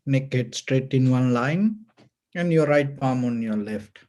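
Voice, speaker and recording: A man with a Sri Lankan accent leading a guided meditation as a Buddhist teacher, giving gentle, measured instructions with short pauses. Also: mid-range voice, expressive.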